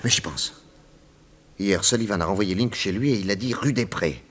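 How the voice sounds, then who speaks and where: An actor playing an upright, resolute detective in a 1960s French radio serial, terse, dramatic and commanding. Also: male voice, on the low side, moderate pace, close to an everyday voice. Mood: anxious reasoning.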